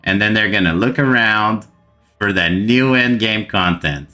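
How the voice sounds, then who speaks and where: A Brazilian man with a bright, forceful edge to his lowish voice, who speaks English as a second language. He rants slowly and with exasperation to his gaming channel viewers and hammers words by repeating them. Faint music plays underneath. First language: Portuguese. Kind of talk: frustrated gaming rant to camera.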